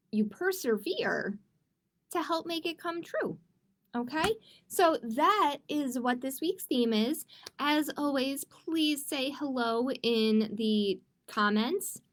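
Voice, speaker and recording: Slow, high-voiced woman hosting storytime. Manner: expressive, chatty, addressing young children with questions. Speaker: children's librarian and storytime host. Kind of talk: children's storytime, read-aloud with asides to viewers. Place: livestreamed storytime.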